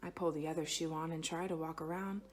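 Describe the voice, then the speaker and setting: A woman reading a children's picture book aloud expressively, with dramatic phrasing that stretches some words and hushes others. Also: low-pitched, steady tone, quick pace, slight background noise. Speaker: storybook reader.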